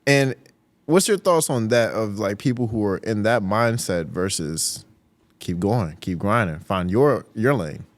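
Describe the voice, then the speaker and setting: A man's voice: lowish voice, expressive, unhurried. A male podcast host asking questions in an easygoing, casual way, sometimes slipping into playful impressions. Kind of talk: casual conversation.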